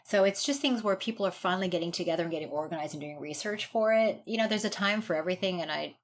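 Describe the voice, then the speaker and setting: Low-voiced American woman, noisy recording. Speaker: guest doctor, urogynecologist and researcher. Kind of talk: conversational interview answers.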